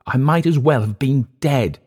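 A man's voice: mid-range voice, measured pace, a little mellow, husky, voice pushed up. A man voicing a bitter, volatile, drink-sodden Englishman in a literary story. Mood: earnest, defiant. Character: bitter, drunken, volatile Englishman gone to seed.

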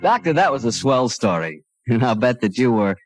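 A man's voice: medium pitch, big pitch swings, moderate pace, over music. A man working as a radio announcer, bantering in 1940s style.

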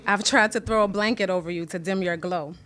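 A woman performing spoken word poetry at a reading, slow and measured. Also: mid-range voice, fairly bright tone. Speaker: poet reader.

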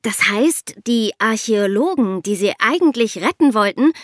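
A woman's voice: on the high side, expressive, moderate pace, dry recording, studio quality. A woman co-hosts a conversational podcast. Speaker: podcast co-host.

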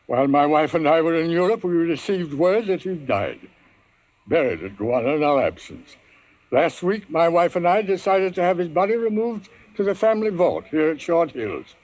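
A wealthy middle-aged father in vintage radio drama. His voice is a little high for a man and very lively in pitch. He speaks formally and emphatically, guarded and used to being obeyed. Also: low-quality recording. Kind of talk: 1950s radio drama acting.

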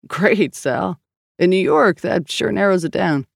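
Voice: medium pitch, expressive, measured pace, vocal fry, no room sound, pitched above the performer's own voice, heavily acted. A narrator doing a weary, blunt male cop. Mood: dry. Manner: dry and dismissive. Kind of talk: audiobook character voice.

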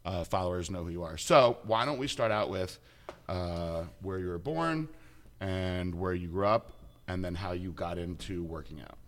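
A man's voice: low-pitched, expressive, normal speed. A man hosting a casual interview, upbeat and chatty with frequent restarts. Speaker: host.

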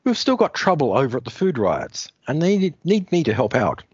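Dude doing a brisk stern superheroine, pitched way up, dry lo-fi audio. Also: male voice, big pitch swings, darkish tone, slightly rough, dry recording. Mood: caring. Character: firm young superheroine, no-nonsense. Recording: dull lo-fi dry recording.

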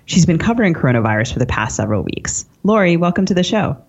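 A woman's voice: fairly low pitch, sing-song, fast talker, a little mellow, husky, dull audio. An American woman co-hosting a podcast, introducing and questioning guests in a conversational style. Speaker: podcast co-host, interviewer.

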